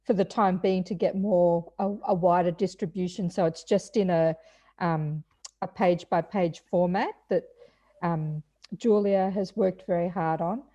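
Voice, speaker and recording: A woman on a video call, relaxed and using fillers as she explains a book's editions. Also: lowish voice, a little slow. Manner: relaxed, fillers, laughs easily. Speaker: book co-author. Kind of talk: casual online video call chat.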